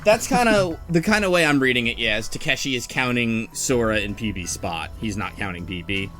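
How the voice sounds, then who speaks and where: A man hosting a casual podcast discussion of a game story, thinking aloud in an animated, conversational way. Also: mid-range voice, a little fast, soft background music. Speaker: podcast host.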